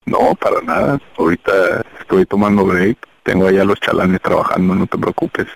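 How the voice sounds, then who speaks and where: A mechanic from Jalisco taking an unexpected phone call. He speaks relaxed and a bit wary at first. His voice is lowish and gravelly. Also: normal speed, slight background noise. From Jalisco, Mexico. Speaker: mechanic, prank target.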